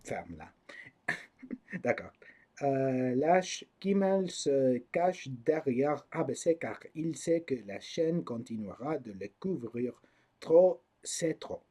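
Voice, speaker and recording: A man with a non-native French accent reads news slowly, stopping often to restart or mock the story. His voice is relaxed and a little husky. He fills the pauses with hesitations and short laughs. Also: mid-range voice. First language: not French. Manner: laughs often, swears mockingly at figures in the news; halting, restarts with many fillers. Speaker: commentator. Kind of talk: reading news aloud with commentary.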